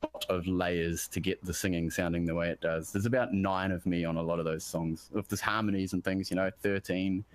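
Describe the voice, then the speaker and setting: A deep-voiced man, a musician guest from New Zealand, chatting casually about production and often restarting his sentences. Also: flat delivery, a little fast, few pauses, dry recording. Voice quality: deep bass voice. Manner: rambling, self-interrupting, searching for words.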